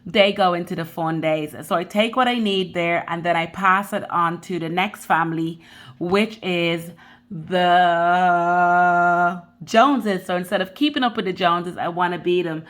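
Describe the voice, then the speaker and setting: A woman in her thirties with a Dublin accent, talking to her viewers in a loose, rambling way as she runs through her budget. Her voice sits a bit lower than most women's. She is from the Caribbean but sounds fully local. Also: unhurried, a bit bright. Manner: chatty and rambling, addresses viewers directly. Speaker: budgeting vlogger. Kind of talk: casual chat to camera.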